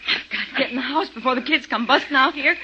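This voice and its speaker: A woman's voice: sits high, fast talker, lightly acted. A woman playing a feisty, exasperated, no-nonsense wife and aunt in a 1950s radio drama, indignant and bossy. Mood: indignant, flustered. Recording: noisy, muffled 1950s radio recording.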